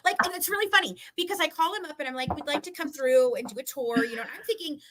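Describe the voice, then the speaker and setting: A woman guest chatting casually and telling stories in an animated, talkative way. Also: high-pitched, fast talker, noisy background. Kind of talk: casual chat.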